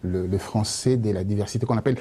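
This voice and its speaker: A male debate host with a very dark, husky voice. He stumbles and restarts often as he introduces the guests and puts his questions to them. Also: fairly low pitch. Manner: halting, many restarts and fillers. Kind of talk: studio debate moderation.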